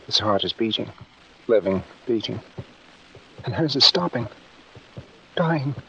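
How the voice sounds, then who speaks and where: Tense village doctor in muffled radio drama. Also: male voice, medium pitch, lively intonation, a little slow, creaky, sharp tone, slight background noise, heavily acted. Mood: tense dread. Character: male village doctor, outwardly genial, secretly guilty and domineering. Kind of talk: 1940s radio drama acting. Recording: muffled old radio recording with music.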